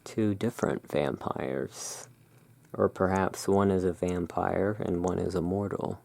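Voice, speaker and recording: Very low, creaky, slow ASMR voice. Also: no room sound. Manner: slow, hushed, deliberate. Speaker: host presenting tarot cards. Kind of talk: whispered ASMR narration.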